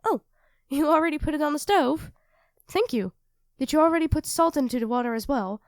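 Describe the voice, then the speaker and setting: A woman's voice: high-pitched, lively intonation, a little fast, no echo, studio quality. A woman playing a sweet, self-doubting young girlfriend in an intimate ASMR roleplay, speaking hesitantly and earnestly to her partner. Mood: gentle, thoughtful. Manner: hesitant, soft-spoken, earnest, addressing a partner directly.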